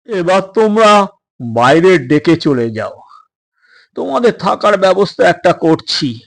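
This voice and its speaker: Man voicing a grave, slow-spoken ship's doctor. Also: sits high, big pitch swings, exaggerated voice. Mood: instructing. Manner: questioning, deliberate, authoritative. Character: grave ship's doctor, stern but caring toward runaway boys.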